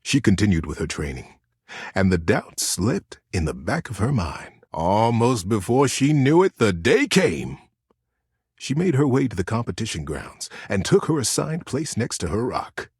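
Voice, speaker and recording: Highly expressive male storyteller, dry sound. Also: normal speed, slightly rough, dry recording. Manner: gentle suspense, expressive emphasis. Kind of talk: dramatic children's storybook narration.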